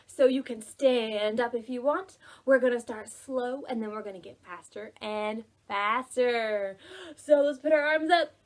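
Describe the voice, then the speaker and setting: A woman with a high voice leads storytime for small children. She goes slowly, stretching words out and softening some phrases almost to a hush. Her delivery is expressive and simple, aimed straight at little listeners. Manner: expressive, drawn-out words with soft hushed phrases; addresses children directly with simple questions. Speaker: children's librarian hosting preschool storytime. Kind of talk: read-aloud storytelling for young children.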